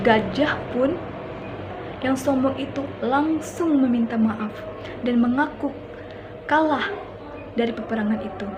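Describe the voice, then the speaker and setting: A young woman with an Indonesian accent, a student telling a folk tale aloud in a plain, steady reading. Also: high voice, very noisy. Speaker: student storyteller.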